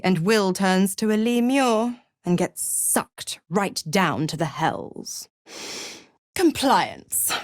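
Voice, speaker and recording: A woman's voice: on the low side, no echo, clean studio sound. A woman voicing a sly, seductive devil in a video game, mocking and condescending, with a teasing theatrical drawl. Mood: coolly mocking. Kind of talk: video game character acting.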